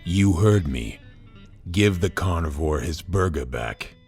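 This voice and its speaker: A huge wolf thug, acted by a man in an audio drama, with a very low voice. He drawls slowly and menacingly like he owns the territory, yet his pitch swings expressively. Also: animated, a little mellow, soft background music, heavily acted. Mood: commanding. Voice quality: deep, low voice. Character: big intimidating territorial wolf, gang tough guy. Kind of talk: audio drama character acting.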